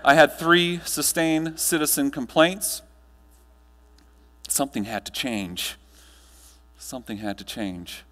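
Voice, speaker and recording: American male keynote speaker, mid-range voice, emphatic and deliberate, long hall reverb. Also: mid-range voice, sharp tone. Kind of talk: rehearsed personal-story keynote speech on stage. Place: large indoor hall, speaking on stage. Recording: echoey hall with mains hum.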